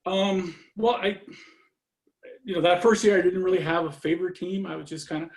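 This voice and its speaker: Gravelly-voiced man, relaxed and rambling. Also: high-pitched, fairly quick. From Norway. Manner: relaxed, lots of fillers and trailing off. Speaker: interview guest. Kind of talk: casual conversation.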